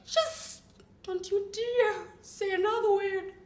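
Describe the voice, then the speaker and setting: A woman's voice: very high voice, fairly even intonation, pitched above the performer's own voice. A woman playing a volatile, heartbroken young woman in a melodramatic acting monologue. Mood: furious, tearful. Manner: melodramatic, tearful outbursts and drawn-out pleading. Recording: echoey room.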